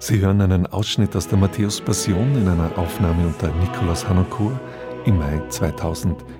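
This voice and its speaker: A male radio announcer with a low-pitched voice delivers a scripted programme announcement. Music plays clearly behind him. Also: a little fast.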